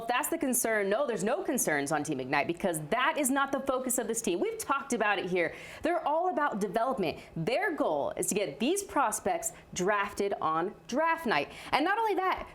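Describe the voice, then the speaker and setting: A woman works as an analyst on a sports talk show. Her voice sits fairly high and swings in a very lively way as she lays out her points with emphasis.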